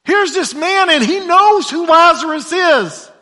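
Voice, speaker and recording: A man preaching a sermon, dropping to hushed low lines and rising to loud shouted emphasis, often repeating words as he goes. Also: expressive, measured pace, long reverb tail. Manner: repeats words when stumbling.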